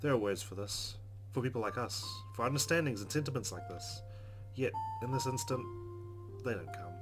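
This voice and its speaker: A man's voice: mid-range voice, moderate pace. A man hosting a let's play, reading game narration expressively with casual, playful asides. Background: game music.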